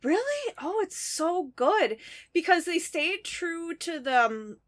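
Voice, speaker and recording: A woman co-hosting a podcast, chatting casually and gushing excitedly about things she loves. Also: very high-pitched, takes it slowly. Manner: gushing, excitable fan talk. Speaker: podcast co-host. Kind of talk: casual chat.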